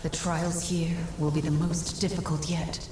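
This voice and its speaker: An actress voicing a steadfast mystical guide in a fantasy video game, grave and measured as she advises a warrior. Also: fairly low pitch, little pitch movement, background noise, close to an everyday voice. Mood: urgent, solemn. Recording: echoey, music behind. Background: game score.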